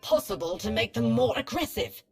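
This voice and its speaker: A woman's voice: fairly high pitch, animated, brisk, vocal fry, darkish tone, slightly rough, soft background music, exaggerated voice. A woman playing a cold, ruthless female Sith research hologram in a video game, speaking in a formal, detached, clinical manner. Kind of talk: scripted video game acting.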